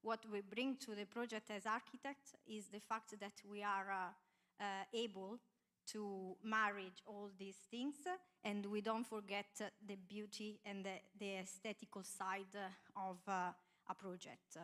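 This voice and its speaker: A woman's voice: medium pitch, level tone, measured pace, a bit bright. A woman in her thirties from Italy, with an Italian accent, introducing herself as an architect on a conference panel, speaking earnestly with many hesitations into a handheld mic. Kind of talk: panel self-introduction on stage. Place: large conference hall stage. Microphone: handheld microphone.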